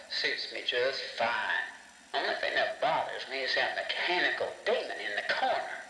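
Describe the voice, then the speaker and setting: A cranky working stiff on a game audio log. He grumbles in a folksy, forceful voice, on an echoey old tape with faint music. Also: medium pitch. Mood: uneasy. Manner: gruff, folksy, complaining. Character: grumbling, cranky working-man studio mechanic.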